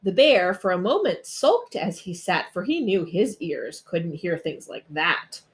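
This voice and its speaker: A woman narrating a rhyming children's storybook aloud in an expressive, dramatic way. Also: on the low side, measured pace. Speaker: storybook reader.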